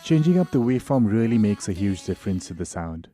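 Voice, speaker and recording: A man narrating a software tutorial in an informal, explanatory way. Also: fairly low pitch, big pitch swings, fast talker, over music.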